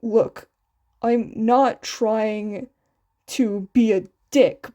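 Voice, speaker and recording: A woman voicing a dry but caring teenage boy in a flat, deadpan delivery for a story reading. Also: fairly high pitch, extremely slow. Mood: frank, serious.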